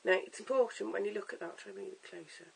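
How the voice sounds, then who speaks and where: Croaky, hoarse voice with gravelly fry. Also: mid-range voice, very fast, rough recording. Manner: chatty, stop-start with fillers and self-corrections. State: hoarse, lost voice. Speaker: wig tutorial vlogger. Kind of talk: casual how-to demonstration. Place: at home in front of a camera.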